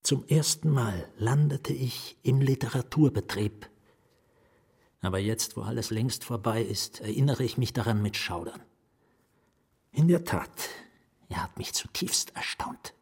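A haunted, nameless writer confides his story in a radio play. His voice is husky and mid-pitched. He speaks haltingly and with drama, often sinking into an intimate hush. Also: lively intonation, full character voice. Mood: bitter, shaken. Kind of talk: German radio drama monologue.

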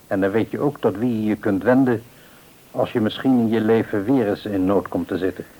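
A man acts a reassuring, devout father in a mid-century radio play. His voice is fairly low and noticeably husky. He counsels in a measured, earnest way. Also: dark tone, natural voice, low-quality recording. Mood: gently earnest.

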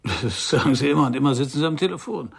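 An actor playing a wry, world-weary private detective in a noir radio play, with a dry, sardonic delivery. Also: male voice, medium pitch, animated, fast, creaky, crowd noise. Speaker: narrator and lead of a crime radio play. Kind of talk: first-person noir radio drama narration.

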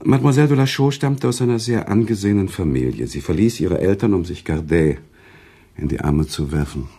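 An actor playing a worldly, sentimental male storyteller in a radio drama, teasing and emotional, with dramatic pauses and expressive swells. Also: full character voice. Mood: moved, nostalgic. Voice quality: husky, gravelly low voice. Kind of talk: German radio drama acting, conversational storytelling.

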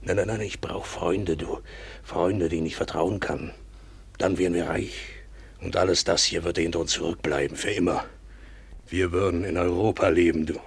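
An actor playing a weak, unhappy middle-aged European trader and father in a German radio drama, husky, beleaguered and self-pitying. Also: low voice, steady tone, moderate pace, dry recording, full character voice. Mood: feverish longing.

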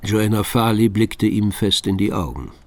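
An actor as the measured narrator of a detective story, reading scripted narration for a radio crime drama. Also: male voice, fairly low pitch, close to an everyday voice.

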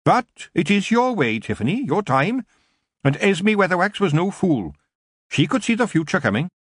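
A man voicing an elderly city witch shopkeeper in an audiobook, brisk and motherly, chatty and knowing. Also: sits high, big pitch swings, fairly bright tone, breathy edge, no echo, studio quality, pitched above the performer's own voice, exaggerated voice. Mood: satisfied, friendly. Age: older. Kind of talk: audiobook character voice.

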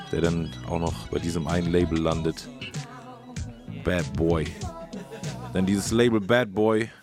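A man talking casually and enthusiastically as a music show co-host, in colloquial speech with English slang mixed in. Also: low-pitched. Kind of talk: casual conversational music commentary. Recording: voice over loud music bed.

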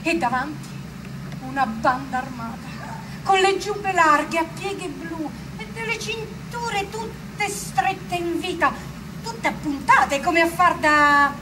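An actress playing an excited woman of the 1800s in Rome, telling what she saw in a breathless, wide-eyed theatrical monologue. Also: extremely high pitch, slow pace, noisy background. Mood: excited wonder. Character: excited woman of 1849 Rome marvelling at Garibaldi's volunteers.

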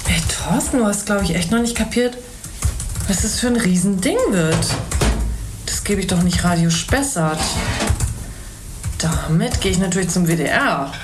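A woman's voice: on the low side, lively intonation, normal speed, long pauses, background noise, lightly acted. A woman playing a curious, persistent radio journalist in a radio drama, reporting and interviewing. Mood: defiant.

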